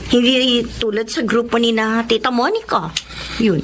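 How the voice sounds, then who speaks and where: An actress playing an affectionate, outspoken young adult little sister in a Filipino radio drama, frank and chatty. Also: female voice, on the high side, fairly even intonation, moderate pace, slight background noise, natural voice.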